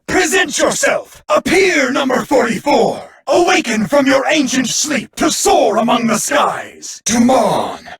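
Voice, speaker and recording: A noble warrior of an otherworldly realm speaks in a gravelly voice that swings widely in pitch. He is loyal and solemn, delivering formal, earnest declarations in the grand style of an anime card game. His tone is high for a man and rough around the edges. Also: sing-song, unhurried, hardly any pauses, a bit bright, heavily acted. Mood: rousing, commanding.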